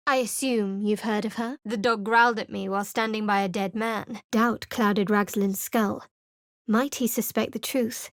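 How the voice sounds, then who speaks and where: An actress voicing a guarded, sardonic young woman cleric of a dark goddess in a video game, with a dry, secretive manner. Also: medium pitch, fairly even intonation, moderate pace, no echo, close to an everyday voice. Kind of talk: video game character voice lines. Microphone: close studio mic.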